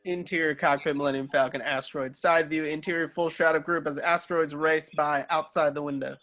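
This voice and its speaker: A man's voice: fairly high pitch, fairly even intonation, a little fast, a bit bright. A man reading screenplay stage directions aloud as scripted narration.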